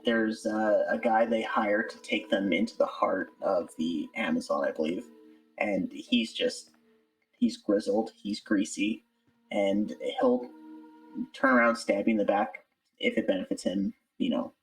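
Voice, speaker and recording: A man with a low, creaky voice answers questions in a casual interview. He is thoughtful and hesitant, picking his way through his answers. Music plays behind him. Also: very animated, a little slow, rough recording. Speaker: interview guest, amateur voice actor. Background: background music.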